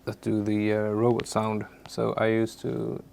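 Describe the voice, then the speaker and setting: A man with a low, slow-paced voice walks through software as a non-native English speaker. He speaks haltingly and searches for his words in an unscripted tutorial, with faint noise behind him. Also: completely flat delivery, gravelly. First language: not English. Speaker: tutorial presenter.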